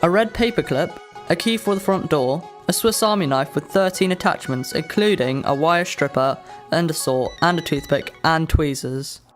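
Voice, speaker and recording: A man's voice: a little high, normal speed, soft background music, lightly acted. A man playing a precise, literal-minded fifteen-year-old boy in a radio drama. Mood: precise, insistent. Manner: matter-of-fact, precise, literal. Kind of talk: radio drama narration and dialogue.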